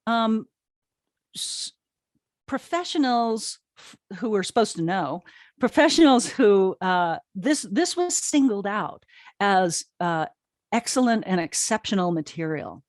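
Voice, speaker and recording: A woman's voice: medium pitch, sing-song, slow pace, no echo, clean studio sound. A middle-aged American woman talking casually and emphatically as an interview guest, often restarting her sentences. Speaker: interview guest, audio drama producer and writer. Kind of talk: casual conversational storytelling in an interview.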